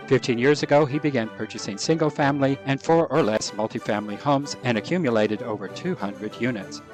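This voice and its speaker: A man's voice: mid-range voice, brisk, faint music behind. A man hosting an interview podcast, upbeat and formal as he reads his introductions. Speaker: podcast host.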